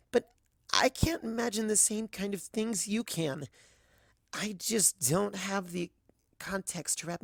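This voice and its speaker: A reader voicing a timid, halting young pony mare. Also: mid-range voice, vocal fry, no echo, clean studio sound, pitched above the performer's own voice. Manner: hesitant, halting, pleading. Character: timid young female pony, earnest and apologetic. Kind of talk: dramatic audiobook fan fiction reading.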